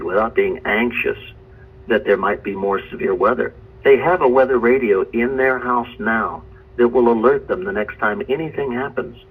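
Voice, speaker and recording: A man speaking as a meteorologist in an earnest testimonial, with measured, deliberate phrasing. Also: mid-range voice, a bit bright, slightly rough, low-quality recording.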